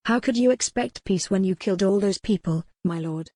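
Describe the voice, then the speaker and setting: A woman's voice: mid-range voice, moderate pace, dark tone, dry recording, clean studio sound, lightly acted. A woman voicing a proud, fierce young noblewoman in a historical romance audiobook, with impassioned, formal archaic speech. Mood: anguished, accusing.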